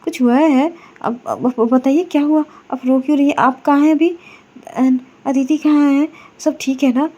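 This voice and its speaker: Woman doing an anxious young woman, higher voice. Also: flat delivery, warm tone, dull audio. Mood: alarmed. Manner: rapid anxious questions. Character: young woman Myra, eager, then frantic with worry.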